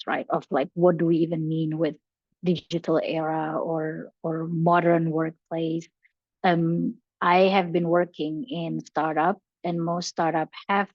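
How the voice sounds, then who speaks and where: A young woman from Indonesia talks casually as a podcast guest, with a low-set voice. She works through her answers with fillers and restarts. Her video call audio is dull and lo-fi, and very dry. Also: a little mellow, no room sound. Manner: thoughtful, with frequent fillers and restarts. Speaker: podcast guest, learning and development professional. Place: bright room with large windows. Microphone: headphones on a video call.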